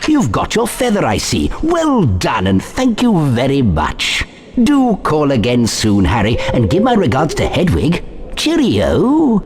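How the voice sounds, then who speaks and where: A genial old-fashioned shopkeeper talks with hugely animated swoops in a medium-pitched voice. He is theatrical, effusive and very polite, as a video game character. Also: unhurried, music in the background. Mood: cheery.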